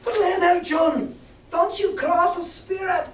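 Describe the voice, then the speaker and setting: A man's voice: a little high, brisk, mellow, natural voice. A man voicing a terrified pirate crewman who pleads in a panicky way, in an echoey room. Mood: scared. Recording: echoey room, far from mic.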